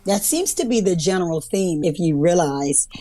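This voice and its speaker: A woman speaks as a radio co-host. Her voice is a little low for a woman, and her delivery is conversational. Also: lively intonation, normal speed, warm tone, soft background music.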